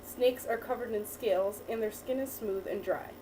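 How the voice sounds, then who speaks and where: A woman teacher reading a picture book aloud to a child, pausing to ask questions and praise the answers. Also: mid-range voice. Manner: patient, encouraging, asks and praises. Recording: distant mic with faint background noise.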